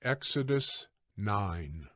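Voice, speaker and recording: Slow, measured male Bible narrator. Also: on the low side, very animated, a bit bright, no echo. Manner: measured, solemn storytelling. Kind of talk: scripted scripture narration in dramatized audio Bible.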